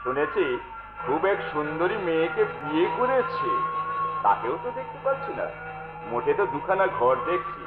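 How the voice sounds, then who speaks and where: Casting: scheming, lecherous folk-theatre villain, drawn-out leering delivery, dull recording under loud music. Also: male voice, on the high side, huge pitch swings, roomy sound. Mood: calling out. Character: lecherous, scheming villain, a man who runs a hotel. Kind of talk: Bengali jatra folk-theatre acting.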